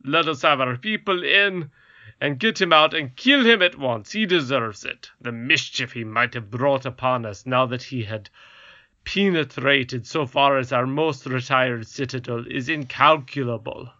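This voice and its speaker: A man's voice: mid-range voice, unhurried, pushed and bright, dry recording, dull audio. A man voicing a pompous, cruel goblin king in a read-aloud story. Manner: blustering, self-satisfied.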